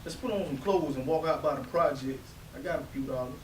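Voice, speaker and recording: A man's voice: on the high side, fast talker, darkish tone, close to an everyday voice. A man playing a restless, cocky young joker in a readers theatre play, talking in pushy slang. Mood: coaxing. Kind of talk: stage readers theatre acting. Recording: echoey room, distant mic, faint noise.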